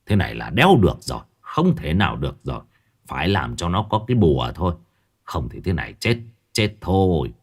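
A man voicing a hot-tempered old grandfather who mutters gruffly through clenched teeth. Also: low-pitched, big pitch swings, fairly quick, voice pushed down, heavily acted. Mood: grumbling.

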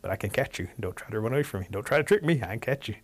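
A hungry wildcat out of a folktale, played by a male storyteller. He is low and gravelly, a grumbling, menacing predator who is easily fooled. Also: rapid-fire. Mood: grumbling suspicion.

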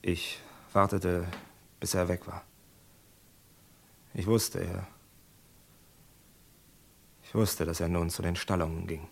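A grim cattle rancher and gunslinger in a radio crime drama. The man's voice sits low. He speaks in short, weighty, deliberate phrases with stubborn resolve. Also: little pitch movement, creaky, slightly rough, heavily acted. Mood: haunted recounting. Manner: terse, heavy, deliberate.

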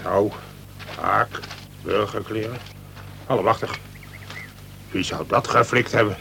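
An actor playing a measured, modest, slightly halting prison colonel in a Dutch radio play. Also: male voice, fairly low pitch, vocal fry. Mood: subdued, bemused. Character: hesitant, modest prison colonel, baffled by events. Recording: noisy old radio play recording.

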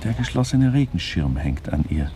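An actor playing a wry, digressive narrator in a literary radio play, musing deliberately and addressing the listeners. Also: male voice, sits low, sing-song, mellow. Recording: clearly noisy background.

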